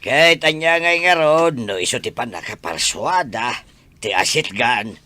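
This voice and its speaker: Casting: sympathetic, disapproving Ilocano gossip played by a male actor, bright mid voice, very animated, radio drama. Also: mid-range voice, a little slow. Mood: disapproving, pitying. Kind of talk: Ilocano audio drama acting.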